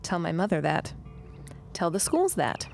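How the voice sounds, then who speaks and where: A woman's voice: lowish voice, sing-song, measured pace, gravelly, darkish tone, faint music behind, heavily acted. A woman playing a frank, plain-spoken, dryly witty working woman in a period audio drama. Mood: wry.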